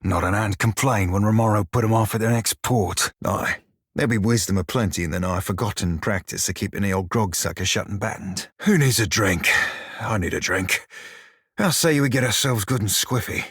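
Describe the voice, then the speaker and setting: An actor voicing a short, crude, wry pirate shiphunter for a video game, with a husky, rough-edged voice and a pirate dialect full of salty sailor slang. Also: male voice, fairly low pitch, moderate pace, dry recording, studio quality. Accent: pirate-style dialect. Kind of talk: video game character dialogue and barks.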